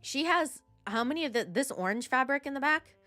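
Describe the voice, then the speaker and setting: A woman giving casual live commentary on a game playthrough, playful and excitable. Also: high voice, a little fast, soft background music. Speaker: game streamer.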